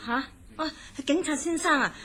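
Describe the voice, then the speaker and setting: An actress playing a courteous, composed head nurse in a horror radio drama, with a Hong Kong Cantonese accent. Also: female voice, high-pitched, a little slow, bright, forceful tone. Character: courteous female head nurse at a psychiatric hospital.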